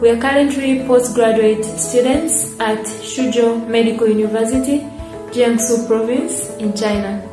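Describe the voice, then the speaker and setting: A woman's voice: fairly high pitch, fairly even intonation, a little slow. A woman postgraduate student from Tanzania giving a formal, earnest video message. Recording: echoey room with music.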